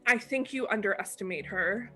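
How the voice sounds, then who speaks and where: A woman acting a steady, loving but insistent young sorority member in a dramatic reading, firm and intimate in manner. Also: mid-range voice, moderate pace, close to an everyday voice. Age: young adult.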